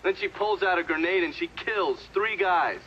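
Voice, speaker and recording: An actor with an American accent playing a cocky, crude war veteran in a video game, sardonic and profane. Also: male voice, high-pitched, normal speed, pushed and bright. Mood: crude, defiant. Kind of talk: video game cutscene acting. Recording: dull sound with clear background noise.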